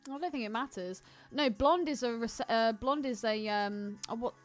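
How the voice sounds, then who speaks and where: A woman's voice: fairly high pitch, normal speed, faint music behind. A British woman co-hosting a podcast in casual, sweary, jokey film chat. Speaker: podcast co-host.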